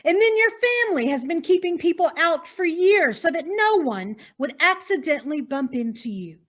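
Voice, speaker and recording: A woman acting in a stage farce as a bold, nosy newspaper reporter with a melodramatic, deliberate delivery. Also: high-pitched, sing-song, fairly bright tone. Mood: determined.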